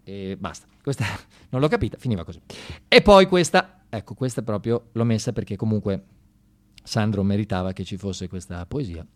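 A man doing a comic theatrical monologue in a conversational, halting and mock-serious way, often repeating himself. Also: on the low side, expressive. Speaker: satirical stage monologist on radio.

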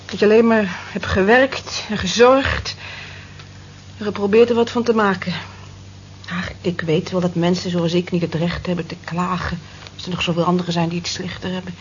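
A woman's voice: medium pitch, lightly acted. An actress in a radio drama playing a weary, discontented woman in her forties, reflective and plaintive. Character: weary, wistful working woman of forty-four, discontented. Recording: dull lo-fi old radio recording, hiss.

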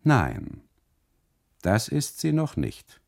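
A man voicing an evasive, fussy custodian in a radio play, speaking formally and deliberately. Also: on the high side, big pitch swings, gravelly, voice pushed up, heavily acted. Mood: evasive, emphatic. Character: evasive, fussy male custodian of a Sicilian tower. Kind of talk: radio play monologue, quoted dialogue.

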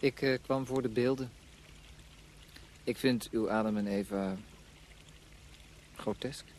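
An actor playing a reflective, wry male writer in a radio drama, husky-voiced, with measured literary phrasing. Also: mid-range voice, steady tone, darkish tone, a little hiss or hum, lightly acted. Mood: curious, wry.

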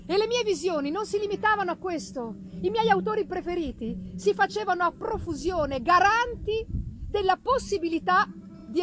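A woman giving a dramatic, theatrical reading of literary narration, with deliberate phrasing and pauses. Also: very high voice. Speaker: reader of a literary audiobook. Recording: live reading with noticeable background noise.

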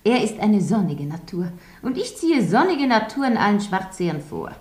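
A woman's voice: mid-range voice, very animated, normal speed, a little mellow, natural voice. An actress playing a commanding, grandiose and sentimental French landlady in a German radio drama with roomy sound. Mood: fussy, fond. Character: bossy, theatrical French landlady, sentimental about her lodgers.

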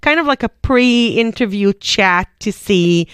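A woman's voice: medium pitch, takes it slowly, clean tone, no room sound. Chatty woman radio host, dry studio sound. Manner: chatty, animated storyteller. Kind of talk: casual radio chat.

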